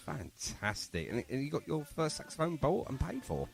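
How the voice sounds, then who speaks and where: A man's voice: mid-range voice, sing-song, moderate pace, gravelly, faint music behind. A man hosting a casual radio interview in a chatty, rambling, jokey way. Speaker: radio show and podcast host.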